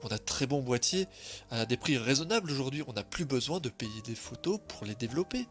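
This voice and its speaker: A man's voice: medium pitch, animated, fairly quick, fairly bright tone, soft background music, close to an everyday voice. Man playing sceptical, questioning tomcat. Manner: hesitant, questioning, argumentative. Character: curious, sceptical, polite male tomcat. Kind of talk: French audio story dialogue.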